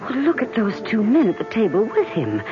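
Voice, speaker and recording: A woman's voice: a little high, wildly swooping pitch, a little fast. An actress playing an anxious, devoted fiancée in an old-time radio drama, earnest and insistent, lingering on her words. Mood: worried. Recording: muffled old radio, music behind.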